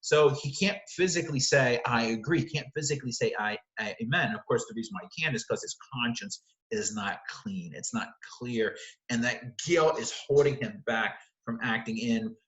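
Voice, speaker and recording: A man's voice: medium pitch, moderate pace, fairly bright tone, echoey room, rough recording. A man teaching literature, walking through the text of a play and explaining it line by line, often correcting himself as he goes.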